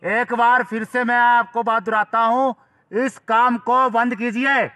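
A man's voice: extremely high pitch, little pitch movement, measured pace, pushed and bright. A man making a stern, insistent army warning announcement through a megaphone outdoors in the mountains. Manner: stern, insistent, repeats himself. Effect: amplified through a megaphone.

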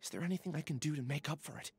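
A man's voice: on the high side, very fast, slightly rough. A man voicing an earnest, polite young male researcher in a video game. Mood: apologetic, polite.

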